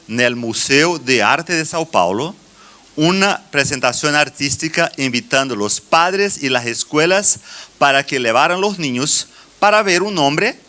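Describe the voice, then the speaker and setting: A middle-aged man with a Brazilian Portuguese accent giving a church conference address in halting phrases with deliberate pauses. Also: medium pitch, sharp tone. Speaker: conference speaker, children's ministry teacher.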